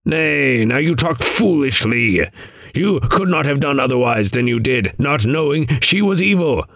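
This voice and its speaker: A man voicing a stern old raven mentor in an audiobook, croaking and solemn, with archaic, admonishing speech. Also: medium pitch, animated, measured pace, a bit bright, no echo, pitched above the performer's own voice. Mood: grave, admonishing. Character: stern old raven guide, grave male riddling mentor. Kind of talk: audiobook character voice.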